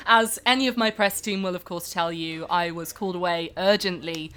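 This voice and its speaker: A woman as a comedy podcast guest, giving earnest, deadpan explanations in a mock celebrity interview. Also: fairly low pitch, moderate pace, clean tone. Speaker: comic interview guest playing an actress.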